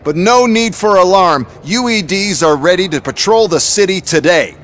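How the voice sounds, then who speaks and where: An arrogant corporate tech boss in a video game. He has a high voice and a slick, self-important presenter's delivery. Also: expressive, moderate pace, fairly bright tone. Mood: proud, showy. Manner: pompous salesman's presentation. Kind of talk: video game cutscene acting.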